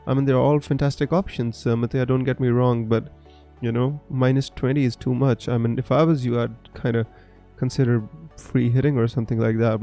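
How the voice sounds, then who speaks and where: A man's voice: medium pitch, dark tone. A man hosting a live fantasy football stream, answering viewer comments in a friendly, chatty way with lots of fillers.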